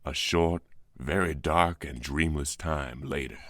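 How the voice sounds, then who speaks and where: A man playing a solemn comic book narrator, measured and dramatic in scripted storytelling. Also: extremely low pitch, fairly bright tone, no echo, full character voice.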